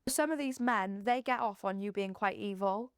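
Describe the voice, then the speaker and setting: A woman's voice: mid-range voice, moderate pace, clean tone, dry recording. A young woman from Wales with a Welsh accent, speaking bluntly and matter-of-factly in a documentary interview. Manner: blunt, matter-of-fact, unapologetic. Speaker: streamer interviewed in a documentary clip.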